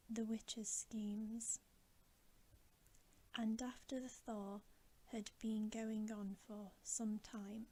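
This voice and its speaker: Woman, soft breathy whisper, very slow, even pitch, gentle ASMR story reading. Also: low-quality recording. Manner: slow, gentle, hushed delivery. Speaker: ASMR reader.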